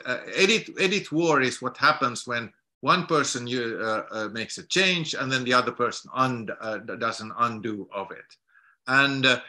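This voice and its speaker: A middle-aged man from Finland giving a guest lecture over a video call from his home study, halting and repeating words as he explains. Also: medium pitch, pushed and bright.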